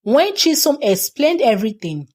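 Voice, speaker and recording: A woman's voice: on the high side, very animated, moderate pace, darkish tone, slightly rough. A woman narrating a folktale expressively as a storyteller.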